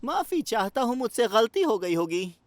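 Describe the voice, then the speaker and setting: An actor voicing an eager, clumsy young male train in an expressive, earnest way for a children's cartoon dub. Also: medium pitch, normal speed, slight background noise, close to an everyday voice. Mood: guilty, downcast.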